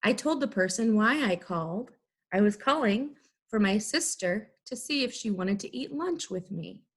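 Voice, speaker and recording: A woman teacher giving an instructional lesson, explaining things in clear, simple, step-by-step phrases. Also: mid-range voice, animated, normal speed. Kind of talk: instructional video lesson for students.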